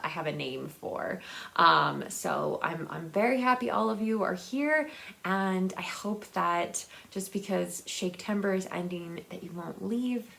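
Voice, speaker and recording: A woman hosting a book vlog, chatting casually and personally to viewers, often halting and repeating words as she searches for them. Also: lowish voice, vocal fry, fairly bright tone. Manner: informal, personal address to viewers. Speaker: host of a book video channel. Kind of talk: casual chat to camera.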